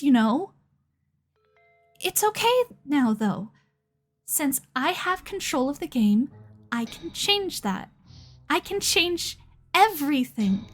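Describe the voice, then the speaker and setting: A possessive yandere dating-sim girl, as a woman acts her out in an ASMR roleplay, her voice set fairly high. She drawls her lines very slowly, teasing and sweetly menacing. Faint music hums behind her. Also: heavily acted. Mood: coaxing.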